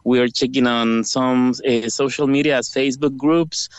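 Male phone-in caller, Salvadoran Spanish accent, smooth clear voice, earnest halting English, dull line. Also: medium pitch, steady tone, no echo. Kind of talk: conversational interview answers.

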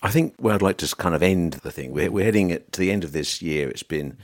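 A man's voice: low-pitched, animated, fast talker, no room sound. A man hosting a podcast interview, chatty and teasing with his guest. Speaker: podcast host. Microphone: podcast microphone.

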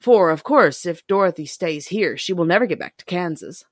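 A woman voicing a thoughtful, loyal and practical scarecrow in a storybook, with a measured, reasoning manner. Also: fairly low pitch, lively intonation, no echo, studio quality. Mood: thoughtful, decided. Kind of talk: storybook character reading.

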